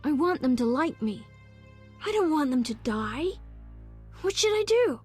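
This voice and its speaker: A woman's voice: high voice, big pitch swings, unhurried, a little mellow, soft background music. A woman voicing a lonely, anxious young boy in a video game, in a childlike, plaintive way. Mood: frightened, pleading.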